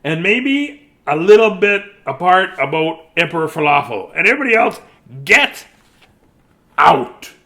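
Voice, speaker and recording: Man playing an exasperated, wildly animated storybook narrator. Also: high-pitched, a little slow, full character voice. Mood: indignant. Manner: whiny, insistent, repetitive protests. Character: exasperated, self-important storybook narrator hogging his story.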